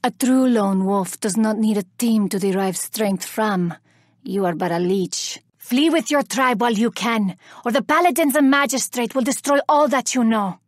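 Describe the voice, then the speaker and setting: An actress playing a vengeful, haughty elven woman warrior in a video game, speaking with deliberate, theatrical menace. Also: a little high, dry recording, studio quality, natural voice. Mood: cold contempt. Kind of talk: video game character voice lines.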